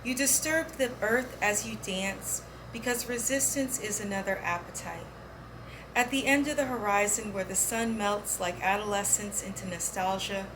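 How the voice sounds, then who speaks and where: Woman reciting poetry, normal pitch, measured, pretty noisy recording. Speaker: poetry reader. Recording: clearly audible background noise.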